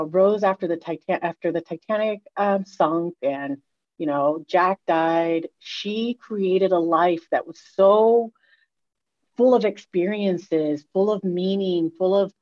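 A woman in her thirties from Puerto Rico talks through her life story slowly and thoughtfully, pausing often to find her words. Her voice sits on the low side. The recording is dull and muffled, with no room sound at all. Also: darkish tone, no echo. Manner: reflective, halting with many fillers. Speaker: guest, new host. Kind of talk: personal storytelling in a radio interview.

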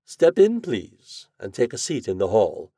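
A snooty household butler who barks out short refusals in a raised, husky voice, as a male narrator does him. He is loud and clipped, and the sound is close and dry. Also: fairly low pitch, wildly swooping pitch, a little slow, dry recording, heavily acted. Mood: brusque. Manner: clipped and dismissive. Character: curt, brusque older male butler. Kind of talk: storybook reading.

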